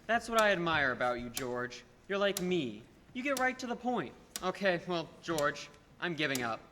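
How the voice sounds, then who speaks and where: Young man playing a bright-voiced, scheming tycoon in an echoey hall. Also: fairly high pitch, sing-song. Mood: smug, calculating. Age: young adult. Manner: patronizing, persuasive, deliberate emphasis. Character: scheming rich businessman, condescending, smooth-talking and domineering. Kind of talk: filmed radio play acting. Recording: echoey hall, background noise.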